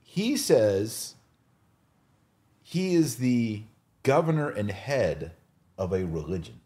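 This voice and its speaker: A man's voice: mid-range voice, very animated, slow pace, dark tone. A man hosting a casual religious discussion, explaining his opinions emphatically and posing rhetorical questions. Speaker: podcast host.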